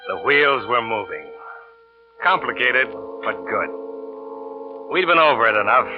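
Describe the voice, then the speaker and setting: An actor playing a cool, methodical murder plotter in a terse 1940s radio noir style. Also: male voice, medium pitch, expressive, unhurried, music in the background, lightly acted. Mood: hard-boiled narrating. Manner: terse, controlled. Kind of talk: 1940s radio noir acting, hard-boiled. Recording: dull, hissy vintage radio recording.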